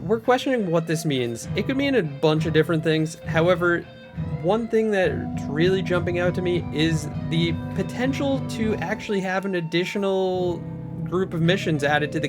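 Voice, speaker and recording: A man hosting a video, giving casual gaming commentary to viewers and repeating his points for emphasis. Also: a little high. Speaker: video creator and host. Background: music.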